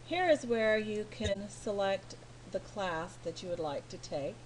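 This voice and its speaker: A middle-aged American woman from California, a teacher talking slowly and patiently through each step of filling in a form online. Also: mid-range voice, a little hiss or hum, rough recording. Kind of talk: screen walkthrough tutorial.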